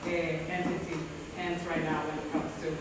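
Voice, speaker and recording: Woman, slow halting delivery, switches english and spanish, far from mic, echoey. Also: low voice, little pitch movement. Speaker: panelist artist. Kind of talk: reflective panel discussion. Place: exhibition space, indoors. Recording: echoey room, distant from mic, noise.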